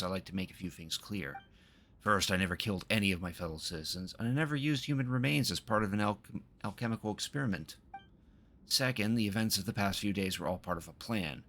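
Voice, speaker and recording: A man with a low voice live streaming a game, reading the dialogue aloud and stumbling now and then before correcting himself. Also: fast, a bit bright. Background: game music.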